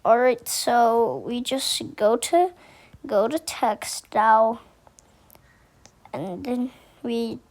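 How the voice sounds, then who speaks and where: A woman giving a casual how-to tutorial, speaking haltingly and repeating herself as she thinks aloud. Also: on the high side. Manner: halting, repetitive, thinking aloud while demonstrating. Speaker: tutorial host.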